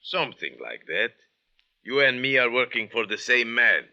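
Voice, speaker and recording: A man's voice: medium pitch, lively intonation, normal speed, sharp tone. A man playing a menacing thug in an old-time radio drama, with a tough, taunting, clipped manner. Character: menacing, mean-looking male thug with a knife. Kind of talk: 1940s radio acting. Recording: muffled, tinny old radio recording.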